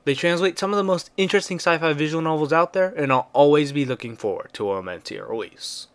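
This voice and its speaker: A man's voice: fairly high pitch, fast. Man narrating a scripted review. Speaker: video game reviewer.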